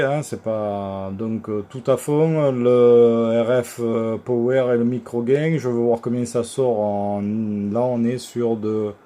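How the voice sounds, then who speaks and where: A man giving an informal equipment demonstration as a repair technician, speaking haltingly with many hesitation sounds. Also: mid-range voice, dark tone. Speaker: radio repair technician presenting his work. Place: electronics workshop.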